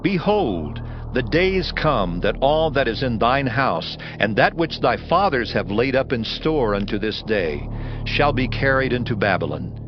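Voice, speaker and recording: A man's voice: medium pitch, very animated, unhurried, background noise. A man playing a stern prophet, grave and emphatic, in a declamatory dramatized scripture reading. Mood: stern, foreboding. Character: stern male prophet pronouncing doom. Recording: dull sound under loud music. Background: music.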